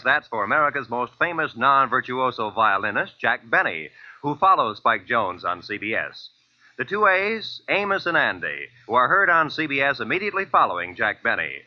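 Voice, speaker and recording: A male radio announcer with a lively, swinging delivery and a brisk promotional tone. The sound is dry, close, and muffled. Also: mid-range voice, no room sound.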